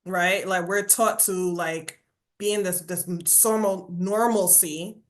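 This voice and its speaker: Brief: Jamaican-born woman with a New York accent, mid pitch, lively podcast guest who keeps checking in with "right?". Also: slow pace. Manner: animated storyteller, says "right?" constantly, acts out quotes. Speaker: podcast guest, burnout coach. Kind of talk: casual conversational interview.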